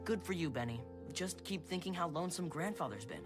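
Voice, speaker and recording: A sensible older child from an animated film, acted by a woman. The voice is notably low and sounds steady and encouraging. Music is clearly audible underneath. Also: fairly quick, close to an everyday voice.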